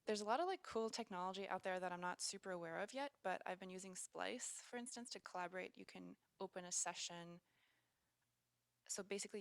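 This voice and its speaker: Quiet woman from canada, lowish smooth voice, relaxed rambling, video call. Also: no echo. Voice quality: quiet, soft-spoken. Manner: relaxed, rambling, lots of fillers. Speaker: musician guest. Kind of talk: casual interview chat.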